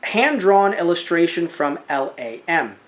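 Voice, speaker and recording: Young man, podcast co-host, lively swinging pitch, joking and animated. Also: unhurried. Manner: animated, joking, with mocking put-on quotes. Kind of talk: casual chat about a crowdfunding campaign.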